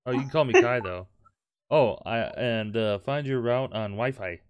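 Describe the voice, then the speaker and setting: A man's voice: medium pitch, moderate pace, smooth, dry recording. A man doing let's play commentary, reading game text aloud with crude jokes and the odd stumble. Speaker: gameplay host.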